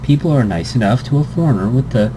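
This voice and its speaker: A man's voice: mid-range voice, animated, quick pace, background noise. A young man hosting a let's play, reading a visual novel aloud with joking asides and fillers.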